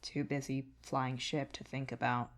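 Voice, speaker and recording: A woman voicing a weary, bitter male alien troll who speaks in halting, terse fragments. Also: rumbling low, flat delivery, voice pushed down.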